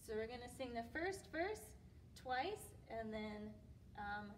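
High-pitched woman hosting children's storytime, distant mic. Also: lively intonation, slow, a little hiss or hum. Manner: informal, addresses young children directly. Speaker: children's librarian and storytime host. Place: indoors, library room with bookshelves. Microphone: distant camera microphone. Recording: distant camera microphone pickup.

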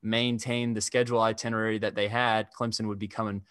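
A young man hosting a sports podcast over a video call, talking in a measured, analytical way at a desk microphone. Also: fairly low pitch, little pitch movement, fairly bright tone, smooth, dry recording, clean studio sound. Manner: measured, analytical, restarts phrases. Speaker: podcast host. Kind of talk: casual sports talk. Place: indoor room.

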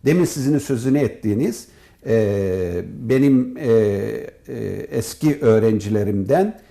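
A mellow, relaxed-sounding older man speaks as an academic being interviewed. His pitch moves in a lively way, and he phrases things formally, pausing often as he goes. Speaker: professor, head of an animation department. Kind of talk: interview answers in formal, partly prepared wording.

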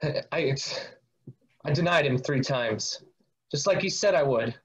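A man playing a remorseful, impulsive disciple in an amateur dramatic reading, speaking in a confessional, sometimes halting way. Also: fairly high pitch, lively intonation. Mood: ashamed. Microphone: video call. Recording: muffled video-call audio.

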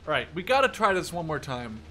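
A young man with a bright, forceful, slightly high voice commentates on his own gameplay. He is excitable and casual, swears freely and talks to himself. There is clear noise behind his voice. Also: fast talker. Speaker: gaming YouTuber. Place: small room at home.

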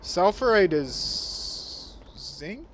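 Slow, hesitant man chatting over gameplay. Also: high voice, sing-song, fairly bright tone, slight background noise. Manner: slow, hesitant, many fillers. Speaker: let's-play co-host. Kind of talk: casual gaming chat.

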